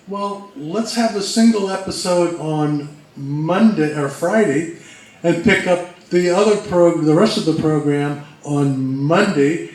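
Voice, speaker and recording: A man co-presenting an informal history talk, explaining things in a measured, step-by-step way. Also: on the high side, darkish tone. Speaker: co-presenter at a fan convention talk. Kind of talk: informal lecture on old radio history. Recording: very echoey room, distant mic, faint noise.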